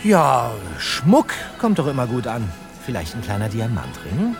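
A man's voice: mid-range voice, wildly swooping pitch, unhurried. A man playing a chatty, teasing young detective in an audio drama, playfully stretching words for emphasis. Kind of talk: German audio drama dialogue. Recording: music behind the voice.

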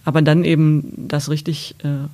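A woman guest talks about her work as a sports journalist. Her delivery is level and unvaried in pitch, and she thinks aloud, pausing and restarting her sentences as she goes. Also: little pitch movement, mellow. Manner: thoughtful, hesitant restarts. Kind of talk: podcast interview answers.

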